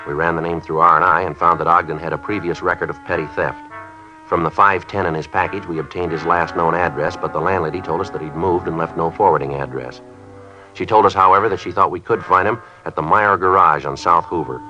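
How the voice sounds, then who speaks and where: An actor playing a businesslike American police detective, terse and matter-of-fact in both procedural narration and questioning. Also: male voice, low voice, steady tone, brisk, over music, natural voice. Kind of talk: clipped procedural narration and dialogue. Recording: dull vintage broadcast.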